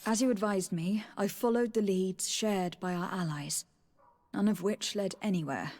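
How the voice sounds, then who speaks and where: A woman's voice: medium pitch, moderate pace, darkish tone, natural voice. An actress playing a loyal, composed noblewoman diplomat in a video game, speaking formally and sincerely. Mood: earnest. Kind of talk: dramatic video game acting. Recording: long hall-like reverb.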